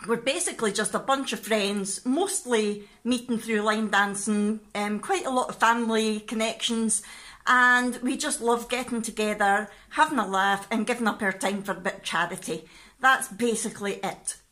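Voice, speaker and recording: An excitable Scottish woman with a bright, fairly high voice talks in a casual, chatty vlog style. She is in her thirties and speaks into a phone at home. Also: moderate pace. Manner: excitable, expressive. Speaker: family vlogger. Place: living room at home. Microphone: phone at arm's length.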